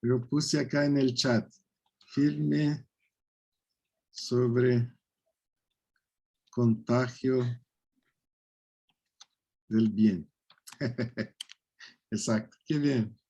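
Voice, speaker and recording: A man, speaking slowly and reflectively in a casual online faith discussion over a video call. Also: mid-range voice, fairly even intonation, vocal fry, highs cut off. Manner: slow, warm and reflective, with soft laughs. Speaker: speaker leading a faith study talk.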